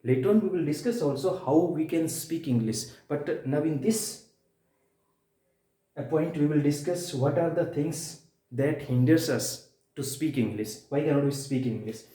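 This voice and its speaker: Man, Indian accent, native Hindi speaker, English teacher, mellow tone, repetitive explanations, emphatic pauses, reverberant room. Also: a little high. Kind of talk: spoken-English lesson to viewers. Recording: echoey room.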